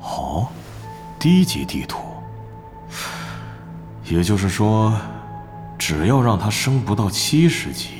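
Stern middle-aged boss, commanding and calculating, animated delivery for audio drama. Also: male voice, fairly low pitch, takes it slowly, pauses often, faint music behind. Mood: scheming. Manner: commanding, interrogating. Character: stern, scheming middle-aged male club manager. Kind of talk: Mandarin audio drama acting.

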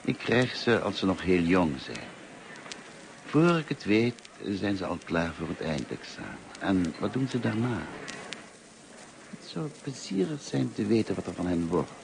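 An actor in a Dutch radio play as a kindly, talkative, lonely middle-aged teacher, courteous and musing in manner. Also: male voice, low-pitched, sing-song, unhurried, vocal fry, full character voice. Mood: wistful, fond. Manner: courteous, musing, hesitant and self-effacing. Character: kindly, talkative, lonely grey-haired male French teacher. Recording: noisy old radio play recording.

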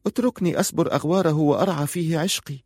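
Man voicing an insistent young wife, lifted pitch. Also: very fast, a little mellow, dry recording, studio quality. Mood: defiant, wounded. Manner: insistent, emotional, bitter at times. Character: pregnant wife, young woman, passionate, pleading, defiant. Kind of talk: audiobook character dialogue.

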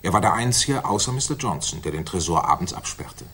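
Mycroft Holmes, a low-voiced, pompous senior official. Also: male voice, lively intonation, fairly bright tone, husky, heavily acted. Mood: matter-of-fact. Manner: deliberate, formal, pompous. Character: Mycroft Holmes, weighty, authoritative, self-satisfied senior government official. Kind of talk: 1960s German radio drama acting.

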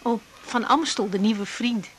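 A woman's voice: a little high, a little slow, slight background noise, lightly acted. An actress playing a composed, direct young woman doctor in a naturalistic radio drama. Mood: confiding. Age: young adult.

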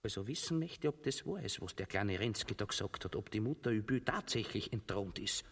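An actor playing a plain-spoken soldier sidekick in a radio farce, with an Austrian accent. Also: male voice, fairly low pitch, animated, brisk, breathy edge. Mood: pondering. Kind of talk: theatrical radio play acting in dialect. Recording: reverberant studio radio play.

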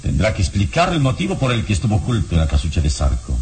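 An actor playing a grave, commanding heroic investigator in a radio drama, speaking deliberately with dramatic pauses. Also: male voice, lowish voice, wildly swooping pitch, darkish tone, slight background noise. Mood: grave, accusing.

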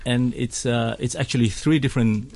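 A man's voice: medium pitch, fairly even intonation, moderate pace, a little mellow. A man whose first language is Hokkien, a choir director and singer, talking as a guest on a radio show in a hesitant way, full of fillers and chuckles. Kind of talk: casual radio interview. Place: radio studio.

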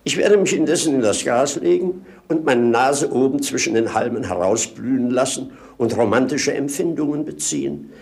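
An actor playing a tipsy, lazy rogue with clownish mock pomposity, in 1950s German radio drama style. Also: male voice, medium pitch, normal speed, gravelly, darkish tone, natural voice. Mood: tipsy, sly. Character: tipsy, lazy male rogue, clownish self-satisfied idler. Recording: 1950s radio play recording.

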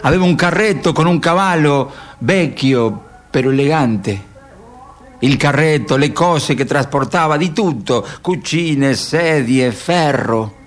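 Slow, poetic man. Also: fairly high pitch. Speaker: radio theatre host and narrator. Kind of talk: poetic dramatic radio narration.

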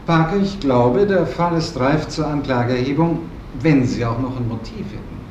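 Sceptical state prosecutor, male actor, medium pitch, measured radio-drama delivery, slightly distant in a roomy space. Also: a little mellow, muffled recording, lightly acted. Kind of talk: 1970s German science fiction radio drama acting. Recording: echoey room, distant, faint noise.

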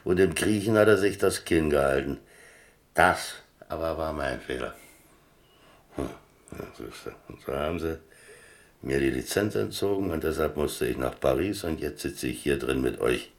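A very low-pitched seasoned sea captain for a German radio drama. He is easygoing and boastful, telling his tales at leisure with the self-satisfaction of a born raconteur. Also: moderate pace, vocal fry. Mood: wry storytelling. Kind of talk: German radio play dialogue.

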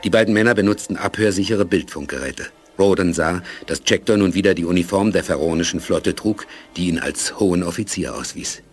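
Man as sober storyteller for sci-fi radio play, deep, measured, music underneath. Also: natural voice. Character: sober male narrator of a space adventure. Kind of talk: scripted audio drama narration.

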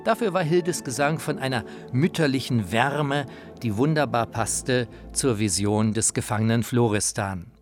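A man's voice: mid-range voice, unhurried, soft background music. A man narrating a radio feature in a colloquial, anecdotal storytelling manner.

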